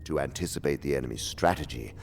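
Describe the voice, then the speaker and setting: An actor playing a haughty, scheming senior demon who lectures in a pompous, theatrical, condescending way, in a radio drama. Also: male voice, quick pace, soft background music. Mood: lecturing, sly. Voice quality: very deep, gravelly.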